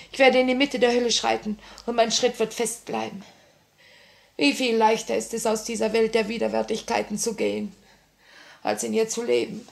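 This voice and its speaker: An actress playing a grieving but resolute young revolutionary in a 1950s German radio play, solemn and theatrical. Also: female voice, a little high, creaky. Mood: weary, determined. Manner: theatrical, solemn, impassioned delivery. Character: grieving, resolute young woman revolutionary, torn between love and duty.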